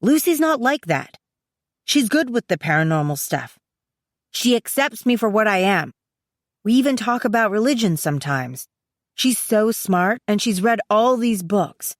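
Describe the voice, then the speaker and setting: A woman's voice: medium pitch, sing-song, measured pace, no echo. Lady doing a mouthy teen brother, hot-headed n combative, insistent, audiobook dialogue, really dry sound. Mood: defensive. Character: defiant, hot-headed sixteen-year-old brother, resentful of his sister.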